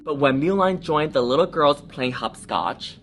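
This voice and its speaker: A woman reading a children's storybook aloud in a theatrical, expressive manner, adding little asides. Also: deep voice, measured pace, slight background noise. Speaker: drag storytime reader.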